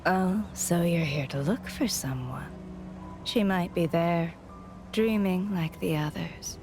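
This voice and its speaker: Enigmatic female guide for a video game, slow and low, measured, aloof, faint music behind. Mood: calm, mysterious. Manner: cryptic, measured, formal. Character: enigmatic, detached woman calling herself Time, cryptic guide.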